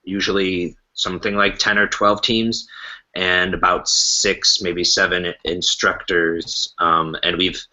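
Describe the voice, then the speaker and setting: A man with a low voice that is strikingly bright and sharp. He explains things in casual conversation as a guest. The sound is slightly dull, like a modest podcast recording. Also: level tone, slow. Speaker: podcast guest, improv festival organiser.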